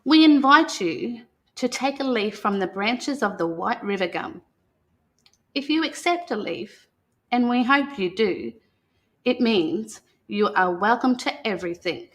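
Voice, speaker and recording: An Australian woman speaking as a guest storyteller, talking and reading a picture book aloud in a gentle manner. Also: a little high, lively intonation, measured pace. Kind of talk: unscripted talk and picture-book read-aloud.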